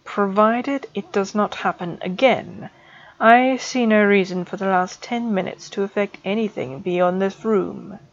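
A woman reader voicing a stern male potions professor who speaks slowly and with clipped control, on a dull lo-fi recording. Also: mid-range voice, lightly acted. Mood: measured. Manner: slow, measured and clipped.

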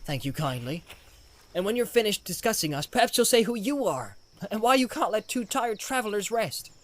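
A man doing a blunt sarcastic hobbit, high and bouncy. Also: very animated, moderate pace. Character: plucky young male hobbit servant, blunt and touchy.

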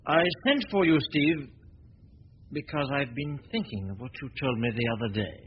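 An actor playing a suave, confident amateur detective in a 1940s radio drama, talking in a breezy, teasing, self-assured way. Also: male voice, medium pitch, sing-song, moderate pace, natural voice. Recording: dull old broadcast recording with faint hiss.

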